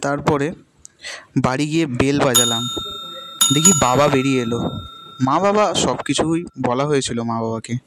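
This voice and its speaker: A man's voice: mid-range voice, moderate pace, long pauses, a little hiss or hum, rough recording. A man narrating a first-person story as a storyteller. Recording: loud plucked-guitar music behind voice. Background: guitar music.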